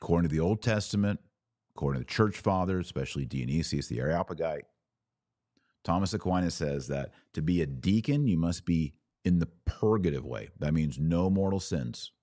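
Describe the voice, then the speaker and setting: Very deep-voiced man, religious podcast host. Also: animated, a little fast, dark tone, dry recording, clean studio sound. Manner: earnest, emphatic, repeats phrases for stress. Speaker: podcast host answering live viewer comments. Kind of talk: live religious commentary.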